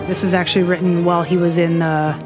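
A woman's voice: lowish voice, level tone, quick pace. An American woman co-hosting a casual chat about classical music, rambling in a laughing, easygoing way. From United States. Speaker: podcast co-host.

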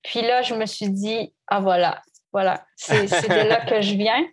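A woman's voice: mid-range voice, steady tone, unhurried. A woman violinist from Canada, in her thirties, talking reflectively in an interview, hesitant with many restarts. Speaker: violinist guest. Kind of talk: conversational interview.

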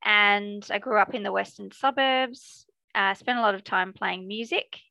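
Australian female academic guest, conversational, bright tone with noticeable creak. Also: mid-range voice, normal speed, no echo, dull audio. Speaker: academic researcher, podcast guest. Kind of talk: casual interview chat.

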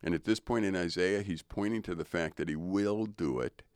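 An older man with a very low, deep voice talks through ideas of faith in a thoughtful, unhurried way, a little preachy. He co-hosts a relaxed studio podcast. The sound is close and dry, with no room echo. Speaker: co-host. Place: radio studio.

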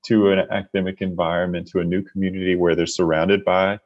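A man hosting an interview, speaking in a measured way with many fillers as he reflects and asks questions. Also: low-pitched, level tone, a little mellow. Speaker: interviewer host. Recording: dull lo-fi sound.

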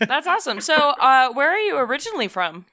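A woman with a bright, forward voice, pitched slightly high, co-hosts a podcast. She chats casually and puts questions to the guest. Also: sing-song, brisk. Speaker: podcast co-host.

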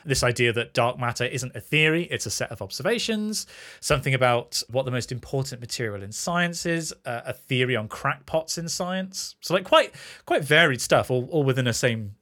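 A male podcast co-host talking in a bright, clear voice with lively swings of pitch. He chats with enthusiasm, rambling and correcting himself as he goes. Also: moderate pace. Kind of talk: casual podcast chat.

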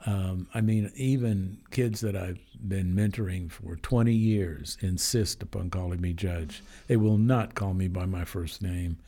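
An older man from Denver, a judge, chatting in an interview, speaking hesitantly with many pauses and fillers and frequent chuckles. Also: low-pitched, mellow. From Denver, Colorado. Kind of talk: casual interview chat.

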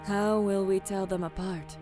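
A woman playing a composed, formal female alien military commander in an audio drama, speaking in terse, measured phrases. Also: medium pitch, steady tone, over music, natural voice.

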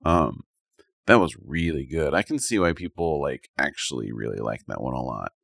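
A man's voice: a bass voice, lively intonation, moderate pace, creaky, fairly bright tone, husky, no room sound, studio quality. A man co-hosting a podcast in casual chat, rambling a little and thinking aloud as he gives his opinions. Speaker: podcast co-host. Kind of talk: casual chat, reviewing anime.

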